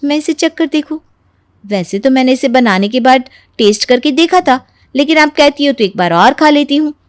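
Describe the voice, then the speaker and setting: A woman playing a sly young Indian daughter-in-law in an animated moral story, eager, insistent and full of mock innocence. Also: high-pitched, normal speed, dry recording, clean studio sound. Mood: feigned surprise.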